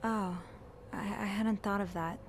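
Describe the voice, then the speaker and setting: An actress playing a young hotel maid turned amateur sleuth, speaking gently and hesitantly, stammering now and then. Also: female voice, medium pitch, steady tone, unhurried, a little hiss or hum, lightly acted.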